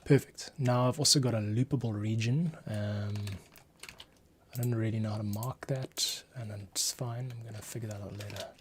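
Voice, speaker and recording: A man giving a casual screencast tutorial, thinking aloud and muttering to himself with pauses as he works. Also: on the low side, steady tone. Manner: muttering to himself, pausing while working. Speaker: composer, streamer host. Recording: faint noise, keyboard and mouse clicks. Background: typing, mouse clicks.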